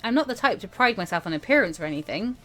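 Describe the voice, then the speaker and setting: A woman hosting a let's play, reading a visual novel aloud in an expressive, playful, dramatic way. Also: mid-range voice, fast talker, faint music behind.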